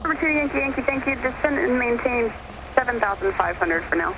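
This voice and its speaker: A woman working as an air traffic controller, giving brisk procedural instructions over aviation radio. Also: fairly high pitch, animated. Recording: noisy aviation radio heard in cockpit.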